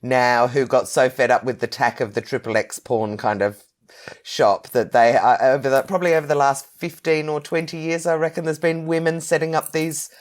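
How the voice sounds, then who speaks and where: A woman's voice: rumbling low, steady tone, normal speed. An Australian woman in her fifties hosting a casual interview in a chatty, effusive way. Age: 50s. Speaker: podcast host, interviewer.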